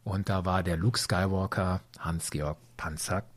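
Husky, chatty man in his forties. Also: deep voice, steady tone, measured pace, dry recording. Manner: chatty and enthusiastic, laughs often. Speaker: podcast host. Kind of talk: casual interview chat.

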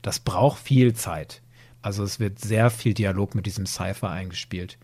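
A man's voice: lowish voice, normal speed, darkish tone. A man chatting informally on a podcast, retelling a story and checking in with his partner. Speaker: podcast co-presenter.

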